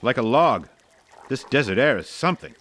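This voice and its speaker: A man's voice: mid-range voice, animated, normal speed, a bit bright. A man playing a plucky, wary young amateur sleuth with breezy old-time film talk in an audio drama. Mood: affable. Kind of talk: audio drama re-creation of 1930s mystery film.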